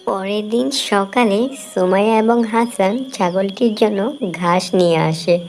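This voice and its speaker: A woman reading scripted narration for an animated children's moral story. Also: medium pitch, measured pace, soft background music, close to an everyday voice. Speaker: narrator and character voice.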